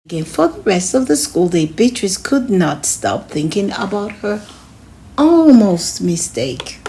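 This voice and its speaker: Animated woman reading a children's storybook. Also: mid-range voice, a little slow. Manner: expressive, addresses young listeners with questions. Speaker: children's read-aloud host. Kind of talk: storybook read-aloud narration.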